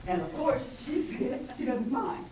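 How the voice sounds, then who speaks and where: Casting: female resident at a town meeting, booming, folksy and conversational, heard echoing across a noisy room. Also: expressive, a little slow, mellow. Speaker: resident giving public comment. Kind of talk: conversational, informal public comment. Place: public meeting room, off the microphone. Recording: noisy echoey room, far from microphone.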